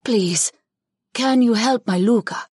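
A woman's voice: on the high side, slow, a little mellow, breathy edge, no echo, clean studio sound. A woman voicing a wary, earnest young female hawk shapeshifter in an audiobook, with careful formal phrasing. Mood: worried, pleading. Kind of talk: audiobook character dialogue.

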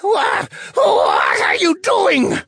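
A man voicing a vengeful wraith in an audiobook, with a breathy, screeching, wailing voice and drawn-out taunts. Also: very high-pitched, huge pitch swings, a bit bright, dry recording, pitched above the performer's own voice, full character voice. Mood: panicked fury.

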